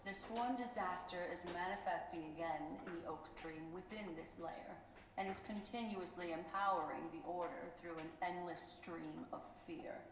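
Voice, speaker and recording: A woman voicing a composed, solemn strategist in a video game, with measured and earnest delivery. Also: fairly low pitch, background noise, natural voice. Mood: solemn, explanatory. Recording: echoey game audio under loud music.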